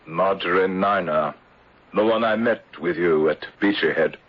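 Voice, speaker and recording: An actor playing a grave, protective insurance investigator in an old-time radio drama, husky, measured and formal. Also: male voice, sits low. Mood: probing. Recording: dull old radio broadcast.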